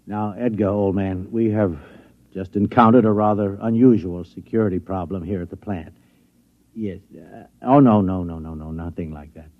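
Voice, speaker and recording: An actor playing a flustered security chief who stammers and repeats himself, in a 1950s radio drama. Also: male voice, low voice, fairly even intonation, normal speed, natural voice. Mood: pleading. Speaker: security chief at an atomic laboratory. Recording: dull old radio recording.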